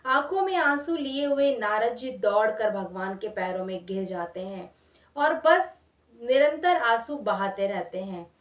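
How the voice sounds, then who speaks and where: A woman's voice: on the high side, animated, measured pace. A woman telling a moral story expressively in everyday, conversational words, repeating phrases for emphasis. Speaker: storyteller, channel host. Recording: roomy echo, distant from mic.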